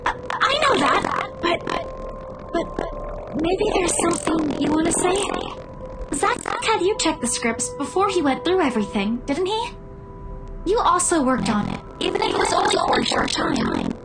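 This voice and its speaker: An actress voicing an excitable, easily flustered young woman in a visual novel game, stammering and earnest. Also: high voice, vocal fry, heavily acted, rough recording. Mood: hesitant, pleading. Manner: stammering, pleading, quick to protest. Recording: loud music under the voice.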